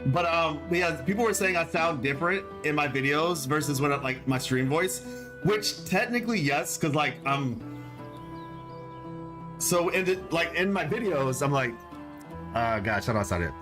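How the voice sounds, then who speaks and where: A man's voice: a little high, lively intonation, moderate pace, over music. A man chatting casually on a game stream, rambling with lots of fillers in a laid-back way. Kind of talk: casual live-stream chat.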